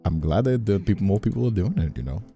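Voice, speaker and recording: Young man, low dark voice, lively swooping intonation, rambling casual streamer talk, stammering, faint music behind. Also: fast, creaky. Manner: rambling, stammering, repeats words, swears casually. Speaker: streamer and ASMR creator. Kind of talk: casual chat on a livestream. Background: background music.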